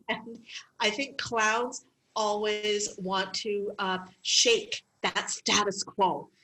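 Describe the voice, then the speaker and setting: Middle-aged woman guest, a medical clown; lively, chatty anecdotes with laughter. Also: mid-range voice, very slow, low-quality recording. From Canada. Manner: lively storytelling with frequent laughs and fillers. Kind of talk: interview conversation.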